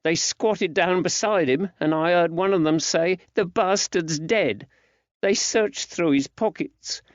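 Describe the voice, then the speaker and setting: Man voicing a high-pitched, frightened young lad. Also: slow, dry recording, lo-fi sound. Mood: shaken. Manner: rustic old-fashioned working-class dialect. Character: frightened, grieving young working-class lad.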